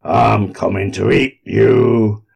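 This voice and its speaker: A man voicing a menacing, relentless man-eating giant in a folk tale, loud and drawn out. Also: level tone, creaky, slightly rough, full character voice. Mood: menacing, hungry. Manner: booming, slow, drawn-out menace.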